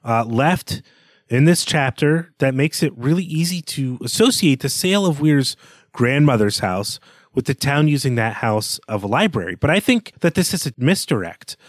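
A man's voice: medium pitch, expressive, moderate pace, dry recording. Man casually discussing books, dry sound. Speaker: podcast co-host.

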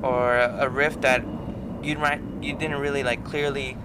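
A man's voice: level tone, normal speed. A man in a band being interviewed, explaining things casually and bluntly, with some swearing. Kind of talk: casual chat. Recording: noisy outdoor recording with vehicle rumble.

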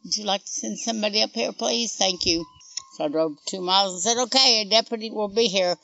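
Middle-aged oklahoma woman, country accent, creaky voice, blunt storytelling, muffled recording with some music under it. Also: mid-range voice, fast, a bit bright. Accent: rural Oklahoma. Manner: plain-spoken, blunt, matter-of-fact. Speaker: interviewee, mother recounting events. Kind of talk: conversational first-hand recollection.

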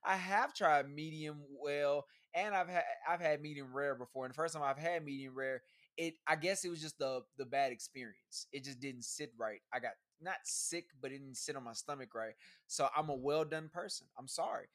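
A man, co-hosting a podcast in relaxed, animated chat and laughing often. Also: fairly high pitch, moderate pace. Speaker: podcast co-host. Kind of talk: casual chat.

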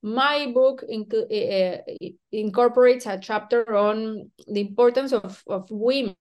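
A woman from Peru, an author interviewed about her book, speaking thoughtfully with frequent restarts, hedges and soft laughs. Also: on the high side, a little slow, dull audio. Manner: hesitant, self-questioning, with many restarts and soft laughs. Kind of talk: thoughtful academic conversation.

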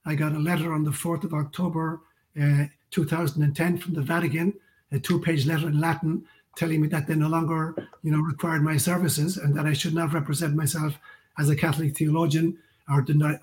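Older Irish man, fairly high even voice. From County Cork, Ireland. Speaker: guest author and priest. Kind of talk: conversational interview storytelling.

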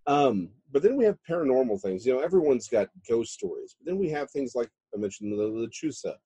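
A man speaks casually as an interview guest. His voice is mellow and a little husky, recorded dry and close. He leans on words when he gets going. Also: mid-range voice, big pitch swings, brisk, no room sound. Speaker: guest author.

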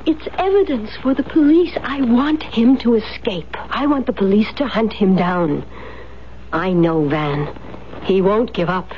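A woman's voice: mid-range voice, expressive, measured pace, natural voice. An actress playing a cold, commanding, scheming woman in a 1970s radio drama, with a clipped, theatrical delivery. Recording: dull 1970s radio recording, faint noise.

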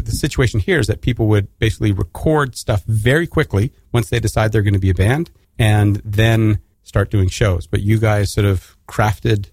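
A man's voice: on the low side, a little mellow, dry recording, clean studio sound. Canadian man hosting a radio interview. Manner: rambling, many restarts and fillers. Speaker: radio host.

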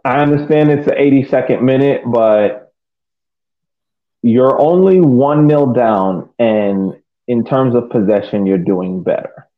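A man co-hosting a sports podcast, giving casual soccer analysis in a measured, hesitant way, repeating phrases as he reasons. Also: medium pitch. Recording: tinny phone-like connection.

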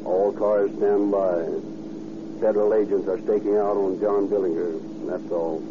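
An actor playing a stern male police dispatcher, clipped and monotone, in a 1930s radio drama. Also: on the low side, measured pace, close to an everyday voice. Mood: stern, official. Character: stern male Chicago police dispatcher calling all cars. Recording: noisy tinny 1930s radio broadcast.

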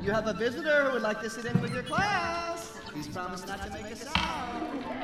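An actress as a genteel female dean in an audio drama. Her high voice swings up and down with folksy, gracious chattiness. Music plays clearly behind her. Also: expressive, moderate pace, close to an everyday voice. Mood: polite. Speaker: college dean.

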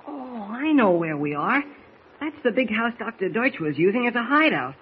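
Teenage boy, high voice, earnest, excitable, 1940s radio acting, tinny noisy recording. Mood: curious. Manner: breathless, halting, earnest.

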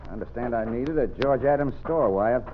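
An actor playing a stern, forthright American frontier doctor in an old radio western. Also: male voice, mid-range voice, a little fast, a little hiss or hum, lightly acted. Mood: firm, indignant. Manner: firm, forthright. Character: stern, brave frontier town doctor, adult man. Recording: tinny old radio recording.

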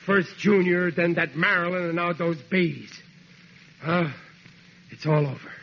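A man's voice: sits high, a little slow. An actor playing an exasperated, blustery working-class father with folksy, ungrammatical speech in a 1940s radio comedy. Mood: weary, cocksure. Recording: noisy, dull 1940s radio recording.

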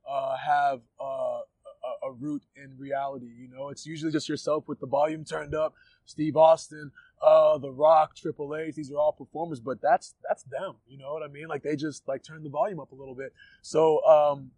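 A man's voice: on the high side, flat delivery, moderate pace, darkish tone, dry recording. A man in his thirties from Ghana, talking casually in an interview and leaning on "like" and "you know" as he goes. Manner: easygoing, lots of "like" and "you know" fillers, laughs often. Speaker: wrestler being interviewed.

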